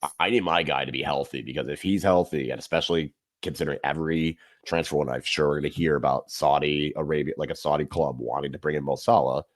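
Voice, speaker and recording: Man, very deep voice, casual football talk, rambling, dry close sound. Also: fairly even intonation, fast, hardly any pauses, no echo. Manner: loose, rambling with fillers and restarts. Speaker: podcast co-host. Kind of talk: casual sports chat.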